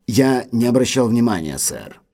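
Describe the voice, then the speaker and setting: An actor playing a loyal, discreet middle-aged butler in an audio drama, speaking in a measured, respectful, formal way. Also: male voice, medium pitch, very animated, dark tone. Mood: humble, apologetic.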